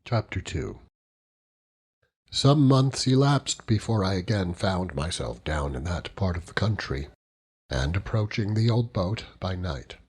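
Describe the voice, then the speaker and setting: A man's voice: low voice, animated, measured pace, a little mellow, husky. A man narrating a classic novel as an audiobook, in a dramatic literary reading style.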